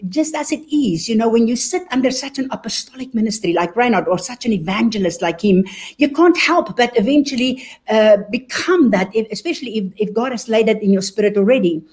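A woman's voice: a little high, darkish tone. A woman with a South African accent, an evangelist sharing her story earnestly in an interview. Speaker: evangelist guest. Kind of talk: interview testimony.

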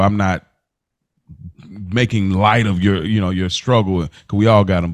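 A man's voice: fairly low pitch, dry recording. An American man hosting a casual podcast about a TV series, talking in a slangy, conversational way and repeating phrases to make his point. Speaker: podcast host.

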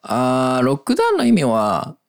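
A man's voice: medium pitch, huge pitch swings, quick pace, vocal fry. A man with a Japanese accent, a native Japanese speaker, co-hosting a casual bilingual podcast. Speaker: podcast co-host. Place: small makeshift studio behind a cafe.

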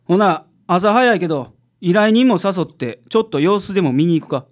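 A man's voice: sits high, expressive, moderate pace. A man playing an unflappable, easygoing private detective with a Kansai accent, explaining things confidently in a radio drama. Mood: breezy. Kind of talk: community radio drama acting.

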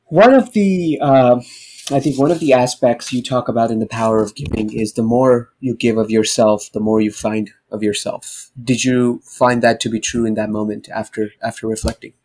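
A male interviewer speaks in a medium-pitched voice. He phrases things conversationally, as a host talking with a guest. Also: fairly even intonation, moderate pace, darkish tone, low-quality recording.

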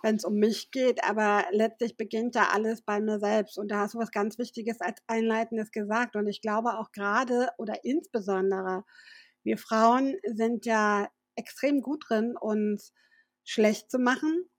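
A woman hosts her podcast in an upbeat, fluent manner. Her voice sits a little high and sounds dry and close to the mic. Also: fairly even intonation, a little slow, no echo. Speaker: podcast host. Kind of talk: podcast interview.

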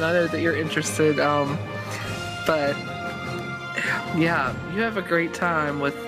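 A woman playing an awkward, jilted romantic hopeful, halting but politely gracious, in an improvised radio comedy. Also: sits low.

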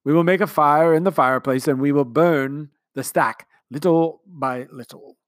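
A man's voice: on the high side, moderate pace, no room sound, studio quality, pitched above the performer's own voice, lightly acted. A man voicing a grandiose, sentimental middle-aged male commander who talks in long, flowery speeches. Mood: brisk. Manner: long-winded, pompous speechmaking.

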